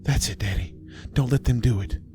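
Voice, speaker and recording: A man's voice: mid-range voice, moderate pace, voice pushed up, natural voice. Young country daughter in a horror audiobook, read by a male narrator: earnest, plaintive, music behind. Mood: anxious hope. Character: brave, earnest little farm girl.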